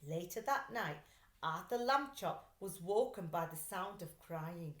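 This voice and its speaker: A woman reading a children's storybook aloud like a teacher, in an expressive, deliberate way. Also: fairly low pitch. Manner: animated, deliberate storytelling delivery. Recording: roomy, echoey sound.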